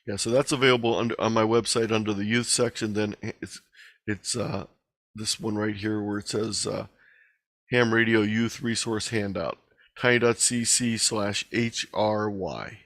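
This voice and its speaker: A man's voice: fairly low pitch, steady tone, normal speed, a bit bright, dry recording, clean studio sound. A man speaking as a guest presenter in an online meeting, explaining things conversationally and taking questions. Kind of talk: informal presentation and question-and-answer.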